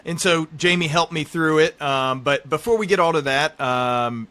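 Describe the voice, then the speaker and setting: A man in his thirties hosts a casual podcast conversation. His voice is clearly bright and forward, sitting a little higher than average. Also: normal speed. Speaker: podcast host.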